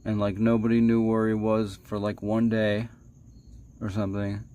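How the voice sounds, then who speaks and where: A man telling stories casually as a livestream host, halting and repeating himself, playfully dramatic with stretched words and hushed asides. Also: fairly low pitch, completely flat delivery. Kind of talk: casual rambling spoken storytelling.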